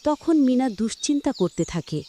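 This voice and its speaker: A woman's voice: sits high, animated, moderate pace, dark tone, slight background noise. A woman narrating a scripted children's story as the storyteller.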